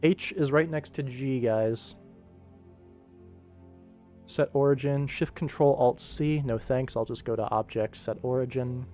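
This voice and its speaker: Young guy streaming while he works, rambly and playful, normal pitch, music in the background. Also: a little slow, long pauses, darkish tone. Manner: rambling, playful, thinks aloud. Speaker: livestream host and animator. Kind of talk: casual livestream chat while working.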